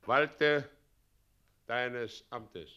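An actor playing a gloating, domineering town president and bridegroom in a radio play, theatrical, moving between murmurs and loud commands. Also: male voice, mid-range voice, very slow, smooth, dull audio. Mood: commanding.

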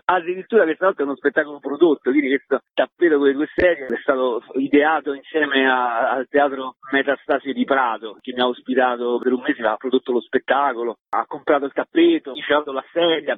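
Man in his fifties, rough unclear voice, narrow phone sound, colloquial interview chat. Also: mid-range voice. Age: 50s. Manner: colloquial, rambling. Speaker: theatre author and performer being interviewed. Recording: muffled, phone-like line, husky and dry.

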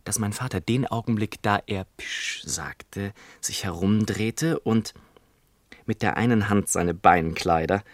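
An actor playing a wry, digressive gentleman who narrates his own life in a literary radio play, whimsical and mock-solemn. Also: lowish voice, measured pace, dry recording. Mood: wry, measured.

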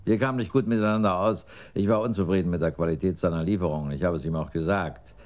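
An actor playing a surly, sly drug dealer who grumbles defiantly and evasively in a radio crime drama. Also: male voice, low-pitched, quick pace, darkish tone, lightly acted. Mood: grumbling, contemptuous.

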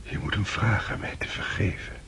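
A man's voice: normal speed, pushed and bright, lightly acted. An actor playing a frail, remorseful old count on his deathbed, speaking in weak whispers. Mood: feeble remorse. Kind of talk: radio play acting.

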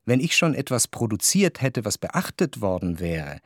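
An actor playing a reflective, wryly observant narrator in a literary radio drama, speaking in a measured, articulate way. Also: male voice, on the low side, big pitch swings, dry recording, clean studio sound. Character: reflective, observant male narrator of society life. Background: faint music.

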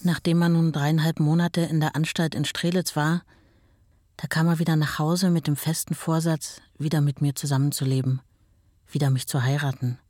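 A woman's voice: low voice, flat delivery, moderate pace, a little mellow, no room sound, studio quality. An actress playing a sorrowful, plainspoken ex-wife reminiscing about her marriage in a documentary-style radio play. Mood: resigned.

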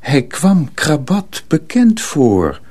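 A man narrating a radio drama in a measured, scripted storytelling manner. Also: a little high, huge pitch swings, a little mellow.

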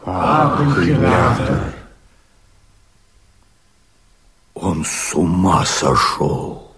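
An actor playing a gruff, sceptical rebel comrade in a verse radio play, with a very raspy voice. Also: male voice, low voice, big pitch swings, slow pace, a crowd in the background, heavily acted. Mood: shocked disbelief.

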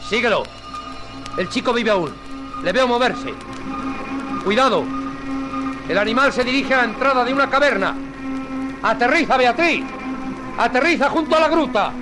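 An actor with a Castilian accent playing a brave, commanding space hero in a 1950s Spanish radio serial, urgent and declamatory. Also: male voice, very high-pitched, lively intonation, normal speed, long pauses, low-quality recording. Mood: alert. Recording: vintage radio drama with music behind.

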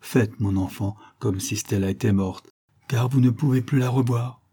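Man as a husky, grieving motherly old nursemaid. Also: medium pitch, natural voice. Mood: grave, sorrowful. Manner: slow, calm, pained, solemn. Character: kindly sorrowful old nursemaid woman, motherly and grave.

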